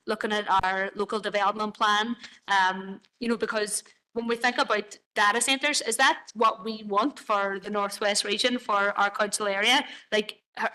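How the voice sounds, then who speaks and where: A woman's voice: mid-range voice, fairly even intonation, moderate pace, bright, forceful tone, rough recording. A woman presenting proposals to a council committee, hesitant with many fillers and restarts. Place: council chamber.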